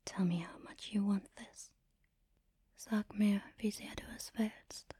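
A woman speaking in an intimate, commanding and teasing erotic ASMR style, in a breathy whisper close to the mic. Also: mid-range voice, fairly even intonation, slow pace, dark tone. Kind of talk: erotic ASMR, guided audio roleplay.

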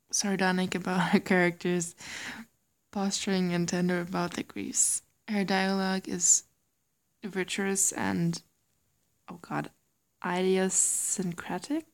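Soft, slow, gravelly young woman, German accent. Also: on the low side. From Germany, raised in Singapore. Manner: giggly, soft-spoken, often whispery. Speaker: audio creator. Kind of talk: casual chat reading word lists.

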